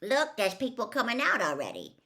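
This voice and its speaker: A woman voicing a huge, gruff but kindly half-giant man who talks bluntly and loudly. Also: a little high, huge pitch swings, a little fast, pitched above the performer's own voice, exaggerated voice. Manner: blunt, loud and dismissive.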